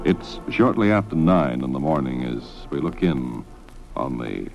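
A man playing a pompous, touchy radio announcer in a deadpan soap parody, on a muffled old recording with music. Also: extremely low pitch, measured pace, gravelly, exaggerated voice.

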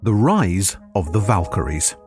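A man's voice: low voice, sing-song, measured pace, a little mellow, soft background music. A man reading a scripted history article aloud as a narrator.

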